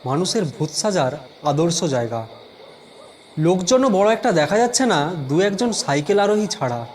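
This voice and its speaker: A man telling a scripted first-person suspense story in a measured, dramatic way, with music behind him. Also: sits high, darkish tone, slight background noise.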